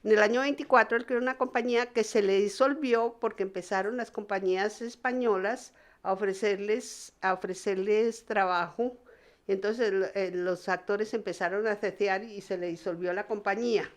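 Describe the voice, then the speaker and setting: A woman recounts theatre history conversationally as an interviewee, halting often and restarting her words. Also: mid-range voice, fairly even intonation.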